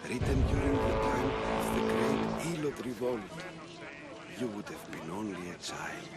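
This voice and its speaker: Devout temple priest for a game, played by a man: mid-pitched, cautious, reverent, secretive, music loud behind him. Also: expressive, moderate pace, over a noisy crowd, close to an everyday voice. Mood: reverent, wistful. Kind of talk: video game acting. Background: prominent music.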